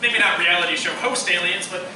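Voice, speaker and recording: A man's voice: sits high, brisk, lightly acted. Bookish, earnest male student played by a young man, conversational radio drama, distant with background noise. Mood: earnest, intrigued. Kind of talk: conversational live radio play acting. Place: live performance room, distant mic. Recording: echoey, noisy live theatre recording from afar.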